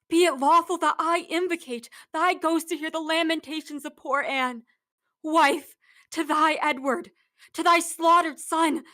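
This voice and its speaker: Casting: young actress for furious bereaved noblewoman, Shakespearean radio drama, extremely high, sharp voice, scornful and impassioned. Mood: mournful, bitter. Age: young adult. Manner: declamatory, impassioned. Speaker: acting student. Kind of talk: Shakespearean radio play acting.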